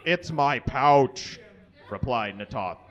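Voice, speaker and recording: A man in his thirties giving a comic live reading of fan fiction on a small stage, deliberately tripping over the mistakes in the text. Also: medium pitch, animated, some chatter behind. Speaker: live reader. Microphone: stand microphone on stage, filmed from a distance. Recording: dull, muffled live stage recording.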